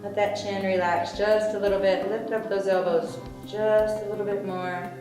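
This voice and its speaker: A woman's voice: mid-range voice, level tone, moderate pace. A woman leading a yoga class, giving stretching cues with chatty, joking asides. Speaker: yoga instructor. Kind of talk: guided yoga class instruction. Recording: echoey room with background music.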